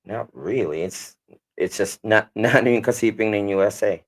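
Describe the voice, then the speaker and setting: A man's voice: on the low side, moderate pace. A man with a Filipino accent, whose first language is Kapampangan, co-hosting a casual livestream, reading viewer comments aloud and laughing often. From Philippines. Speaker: livestream co-host.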